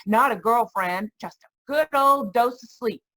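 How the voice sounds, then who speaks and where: An actress playing a brash, indignant young hitchhiker in an old-style radio drama, sassy and emphatic. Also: female voice, on the high side, measured pace, fairly bright tone. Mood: fed up, scornful. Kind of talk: 1940s radio drama acting. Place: remote live performance over video call.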